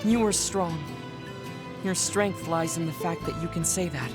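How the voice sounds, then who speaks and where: A man voicing a reserved young male soldier who hides a secret, speaking formally and softly. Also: a little high, a little slow, close to an everyday voice. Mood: worried, formal. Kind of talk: game cutscene dialogue. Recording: game audio with music behind.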